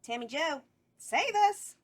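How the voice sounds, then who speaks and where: A woman's voice: high voice, very animated, takes it slowly, full character voice. A woman reading the part of a scheming, bawdy horror hostess at a table read. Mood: urgent. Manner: brash, bawdy, giggles through lines.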